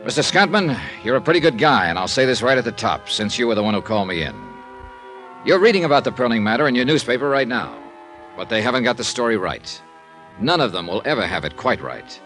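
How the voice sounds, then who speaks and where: An actor playing a wry, clipped American insurance investigator in old-time radio drama style. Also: male voice, mid-range voice, big pitch swings, fast talker, fairly bright tone, soft background music. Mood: brisk, businesslike. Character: brisk, wry male freelance insurance investigator. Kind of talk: 1940s-50s radio acting, first-person narration and dialogue.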